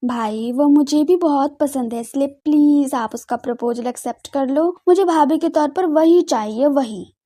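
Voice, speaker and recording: Woman playing an innocent high-voiced schoolgirl sister. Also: moderate pace, hardly any pauses, dark tone, lightly acted. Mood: pleading. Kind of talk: audio drama character dialogue.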